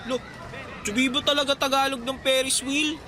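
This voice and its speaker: A man's voice: very high-pitched, fairly even intonation, moderate pace, sharp tone, a faint crowd. A man playing a friendly, cheeky teenage fairground boy in a radio drama, with casual teasing delivery. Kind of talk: Tagalog radio drama acting. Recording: background music, outdoor traffic sounds.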